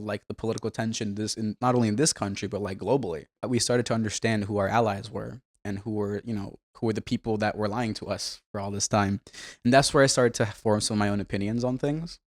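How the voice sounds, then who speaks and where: A man's voice: on the low side, no echo, clean studio sound. A young man from Bangladesh, a community organizer, talking earnestly and casually as a podcast guest, full of "like" and "you know". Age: young adult. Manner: earnest, filler-heavy with frequent "like" and "you know". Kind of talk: casual interview talk.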